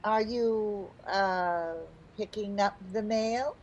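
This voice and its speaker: A mid-pitched, theatrical housewife from a radio comedy acted by a woman. She is chatty, scheming and excitable, delivering her lines in old-time radio style. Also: highs cut off. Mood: pleading. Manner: theatrical, quick to jump to conclusions.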